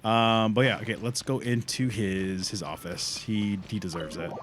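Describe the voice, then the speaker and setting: A man doing casual livestream commentary on a game, informal and sweary, thinking out loud as he plays. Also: on the low side, fairly even intonation, normal speed, noisy background. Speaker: live game streamer.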